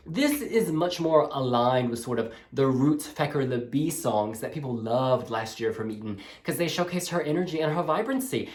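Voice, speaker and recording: A man with a lively, swooping delivery, sassy and gushing as he reviews songs for his music blog. His voice sits at a middle pitch and bounces all over the place. The sound has a noticeable roomy echo. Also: moderate pace, few pauses. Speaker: music blog host reviewing song demos. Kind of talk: casual video review. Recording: roomy echoey room.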